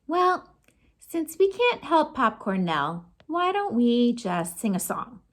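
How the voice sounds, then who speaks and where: Animated, high-voiced woman reading to children. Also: takes it slowly. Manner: expressive, sing-song, chatty asides to young listeners. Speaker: children's librarian storytime host. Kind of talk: animated picture-book read-aloud for children.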